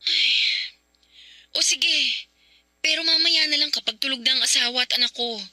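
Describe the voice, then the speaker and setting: A woman's voice: high voice, normal speed, pushed and bright, no echo. An actress playing a hesitant, flustered married woman in a radio drama. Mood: giving in. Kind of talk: Filipino radio drama acting.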